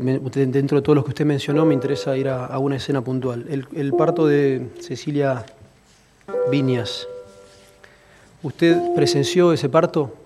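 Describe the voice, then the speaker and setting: A man questioning formally, with careful, halting phrasing. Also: medium pitch. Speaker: questioner at a hearing. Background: piano music.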